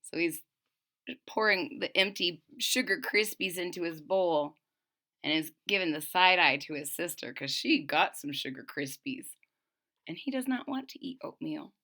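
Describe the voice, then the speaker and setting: A woman reading a picture book aloud to children, expressive and chatty, adding friendly asides between the pages. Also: on the low side, moderate pace. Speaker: storybook reader.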